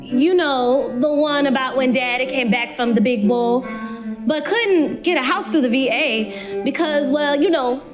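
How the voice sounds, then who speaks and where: Woman acting a high-voiced, slow college girl. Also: music in the background. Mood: recalling. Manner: folksy, earnest, pausing storyteller. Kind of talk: dramatic monologue on stage.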